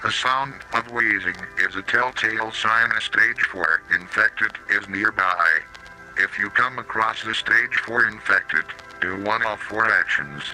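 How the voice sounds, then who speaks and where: Synthetic male narrator for horror safety tape: robotic, slightly low, piercingly bright, mechanical delivery over music. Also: rough recording. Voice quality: robotic synthetic text-to-speech voice. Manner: flat, mechanical, odd pronunciations. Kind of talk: scripted analog horror public-safety narration. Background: slow music.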